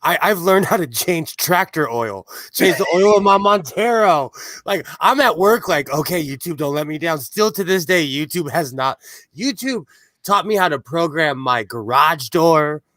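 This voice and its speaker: A man chatting casually as a guest on a video call, his voice a touch high. The sound is dry and close, with no room around it. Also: expressive, moderate pace, fairly bright tone, no room sound. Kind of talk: casual group chat.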